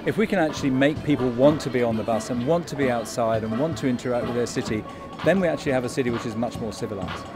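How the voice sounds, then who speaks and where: A man's voice: medium pitch, fast talker, music in the background. An older man, a university researcher, explaining a project earnestly on a busy street, asking rhetorical questions. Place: outdoors on a busy city street.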